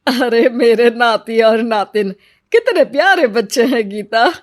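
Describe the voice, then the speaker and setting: A woman's voice: on the high side, a little slow, close to an everyday voice. A woman playing an older mother and grandmother in a cartoon moral story, fretful and given to scheming. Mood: delighted.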